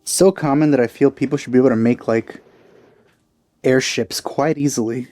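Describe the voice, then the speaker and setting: A man's voice: medium pitch, fairly even intonation, normal speed, dark tone, no room sound, faint music behind. A young man giving casual let's play commentary in a musing, wry, conversational manner, recorded on a close mic. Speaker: let's player.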